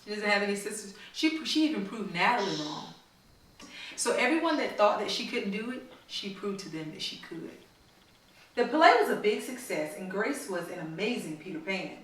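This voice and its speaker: A woman's voice: mid-range voice, expressive, normal speed, fairly bright tone. A woman reading a picture book aloud to children and putting questions to them, with an encouraging, teacherly manner. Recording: very echoey room, far from mic.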